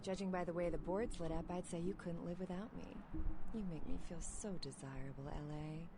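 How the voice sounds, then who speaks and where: A woman's voice: lowish voice, a little fast, close to an everyday voice. An actress playing a teasing, sardonic late-night radio call-in host in a video game, flirtatious and dry in her delivery. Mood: flirty, teasing. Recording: clearly noisy game radio broadcast.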